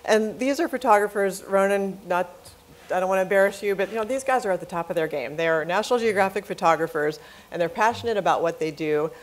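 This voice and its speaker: A woman's voice: mid-range voice, quick pace. A woman giving an informal, earnest and encouraging spoken presentation as a panelist at a live event. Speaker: panelist presenting a youth photography program.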